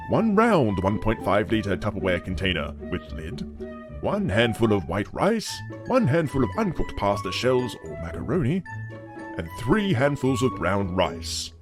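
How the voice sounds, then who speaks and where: A man reading scripted instructional narration for children in a deliberate storyteller manner. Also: fairly low pitch, huge pitch swings, over music. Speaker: narrator of a children's sound effects tutorial.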